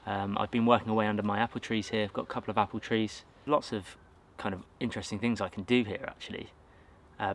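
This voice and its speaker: An English man in his thirties talking casually to camera outdoors in a garden, relaxed and rambling with fillers and self-corrections. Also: fairly low pitch. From England. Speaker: outdoors vlogger. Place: outdoors in a garden by a wooden shed.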